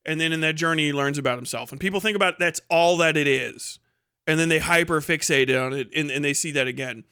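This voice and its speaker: A man giving casual, off-the-cuff livestream commentary in a halting, explanatory way, with some swearing. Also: on the high side, pushed and bright, clean tone, no echo, clean studio sound. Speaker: live streamer reacting to a video.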